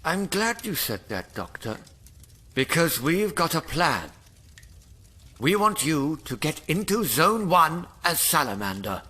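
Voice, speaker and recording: An actor playing an earnest rebel leader in an audio drama, with a declamatory manner. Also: male voice, fairly high pitch, very animated, slow pace, pushed and bright, slightly rough, full character voice. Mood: grave, hopeful. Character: earnest male rebel leader rallying oppressed workers.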